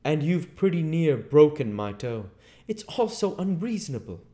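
Nervy blustery tramp for an audiobook, voice shoots way up high, slangy and cringing. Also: male voice, very animated, moderate pace, dark tone. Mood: flustered, aggrieved. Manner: blustering, rustic, slangy exclamations. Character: flabbergasted, frightened, cringing tramp Mister Marvel.

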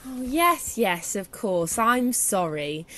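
A woman's voice: mid-range voice, very animated, slow pace, a bit bright. Woman playing an exasperated, sensible British shop manager. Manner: sarcastic, weary, practical. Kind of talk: comic audio drama acting.

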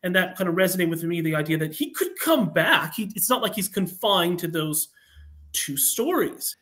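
A man with a high voice gives interview answers as a guest writer on an online call. He is earnest and tells things as a story, often halting and restarting his phrases. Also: lively intonation, fairly bright tone. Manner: earnest, halting, self-correcting.

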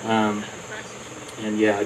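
A man's voice: lowish voice, completely flat delivery, slow pace, a crowd in the background. A man, a songwriter contestant, giving modest and hesitant answers on a festival stage. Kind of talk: onstage interview. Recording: distant mic with faint outdoor noise.

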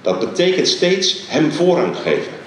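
A middle-aged man preaches on a church stage with a handheld mic, his pitch rising and falling with lively emphasis. His voice rings out through a very echoey large hall, with a faint hum of ambience behind it. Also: recorded from a distance. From Netherlands. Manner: animated, emphatic preaching with many pauses. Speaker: guest preacher. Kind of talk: sermon.

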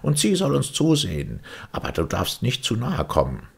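A man's voice: mid-range voice, wildly swooping pitch, moderate pace, gravelly, breathy edge, voice pushed up, full character voice. A man voicing a sulky, mournful, childish storybook twin brother with a grumbling manner. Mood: doleful, boastful. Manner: grumbling, doleful.

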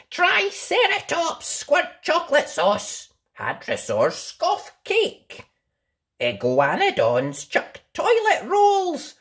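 A woman reading a children's story aloud in a theatrical, deliberate storytelling manner, as its host. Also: on the high side, fairly bright tone. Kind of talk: expressive children's read-aloud.

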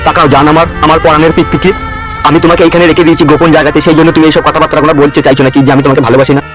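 A man's voice: a little high, little pitch movement, rapid-fire, lightly acted. A man playing a brash politician in a comedy cartoon who coaxes his new bride with wheedling, boastful, crude talk. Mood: coaxing. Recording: music behind.

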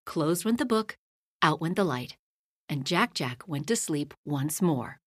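A woman reading a children's picture book aloud, hushed at the bedtime lines and louder in the action. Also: low voice, husky, no room sound, clean studio sound. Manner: slow, lively storytelling, hushed at bedtime lines and loud in action. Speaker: storybook reader.

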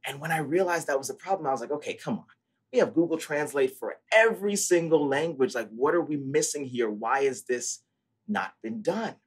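A man's voice: medium pitch, expressive, brisk, husky. A young man in his twenties, originally from Brazil, talking earnestly and articulately about his life as a founder in an interview over a video call from home. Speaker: startup founder, guest. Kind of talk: interview storytelling. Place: living room, at home. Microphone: earphone headset mic on video call.

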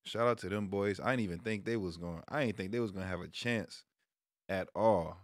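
A man's voice: lowish voice, fairly quick, no room sound, clean studio sound. An American man in his thirties chatting casually about sports to camera in a laid-back, slangy way. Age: 30s. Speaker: streamer and sports vlog host. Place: small room at home, indoors. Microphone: close microphone on a stand, headset on.

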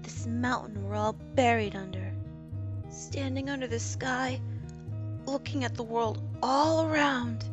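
Shy cartoon kid, played by a woman: high, slow and wistful, music clearly behind. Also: lo-fi sound, close to an everyday voice. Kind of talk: fan comic dub acting.

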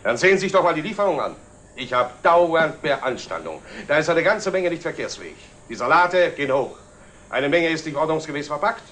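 An actor playing a disillusioned, complaining middle-aged works employee in a 1960s German TV drama. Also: male voice, on the high side, very animated, fairly quick, a bit bright. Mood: disgruntled. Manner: complaining, matter-of-fact. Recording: hissy old TV film soundtrack.

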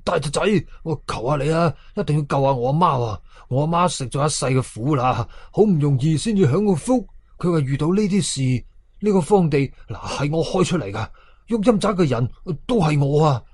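A man's voice: on the high side, expressive, fairly quick, darkish tone, slightly rough, dry recording. A man voicing a timid, easily frightened village uncle in a horror story, agitated, with a Hong Kong Cantonese accent. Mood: anxious, pleading. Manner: agitated, trembling, pleading.

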